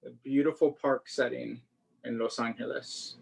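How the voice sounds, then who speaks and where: A picture-book author reads aloud for children at a middling pitch. The delivery is animated and expressive, turning sing-song on refrains and slipping into chatty commentary between lines. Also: moderate pace, gravelly, husky, low-quality recording.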